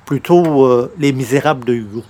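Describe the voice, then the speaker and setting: A man's voice: mid-range voice, moderate pace, a little mellow, natural voice. A man playing a grandiose, easily exasperated would-be novelist in theatrical old-time radio comedy style. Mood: musing. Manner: theatrical, pompous, emphatic. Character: grandiose, exasperated male newspaper columnist turned would-be novelist. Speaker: lead character of the comedy series.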